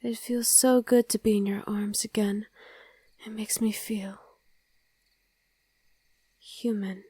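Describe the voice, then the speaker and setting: A woman's voice: mid-range voice, steady tone, dark tone, breathy edge, dry recording. A woman playing a loving, wistful vampire wife in an intimate ASMR roleplay, speaking tenderly and often in a whisper, close to the microphone. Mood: affectionate. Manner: slow, tender, coaxing murmur. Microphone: close, dry microphone.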